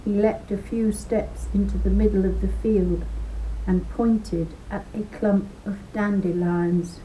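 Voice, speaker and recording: A woman in her sixties or older reading a children's story aloud expressively, with deliberate phrasing and dramatic emphasis, at home on a computer microphone. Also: mid-range voice, steady tone, warm tone, slight background noise, low-quality recording. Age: 60s or older. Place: living room at home beside window. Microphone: webcam or laptop microphone.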